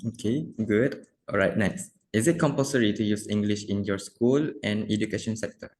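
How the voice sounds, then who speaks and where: A man's voice: fairly low pitch, normal speed, a little mellow, a little hiss or hum. A man interviewing a guest, giving brief acknowledgements and then reading out his questions.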